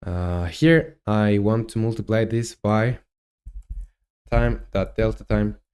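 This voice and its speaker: A man in his twenties with a Chilean Spanish accent, talking through his coding on a live stream, halting and thinking aloud with many fillers. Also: fairly low pitch, a little mellow, smooth. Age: 20s. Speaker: game developer streamer.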